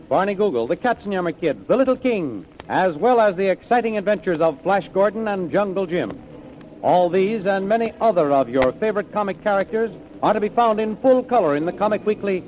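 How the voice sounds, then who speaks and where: A man's voice: high-pitched, a little mellow. A man narrating as a 1930s radio serial announcer, in a dramatic, declamatory manner. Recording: muffled, hissy vintage radio recording.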